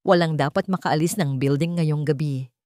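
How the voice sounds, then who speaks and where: A woman with a Filipino accent voicing a menacing, controlling male villain in an audiobook, calm, cold and threatening. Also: sits low, normal speed, no room sound, studio quality, natural voice. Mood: cold, commanding. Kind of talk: audiobook character acting.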